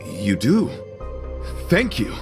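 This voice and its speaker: A man's voice: medium pitch, huge pitch swings, drawn-out words, full character voice. A man playing an earnest, courtly knight devoted to beauty in an English game dub, speaking in a formal, florid, theatrical and solemn way. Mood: courteous, sincere. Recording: music behind.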